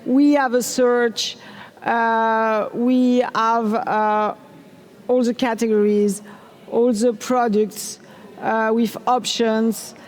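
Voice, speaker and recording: High-voiced French woman on a stage. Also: little pitch movement, extremely slow. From France. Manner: enthusiastic, asks the audience questions. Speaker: conference speaker. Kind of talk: technical conference talk. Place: large conference hall on stage. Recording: hall reverb from a big room.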